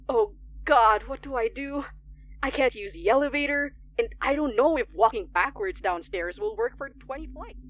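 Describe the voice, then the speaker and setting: A woman's voice: on the high side, muffled recording. A woman playing a scared young late-night radio DJ in a horror audio drama, polite but panicky. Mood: panicked. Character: frightened young non-binary late-night radio DJ, trapped alone. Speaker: radio host.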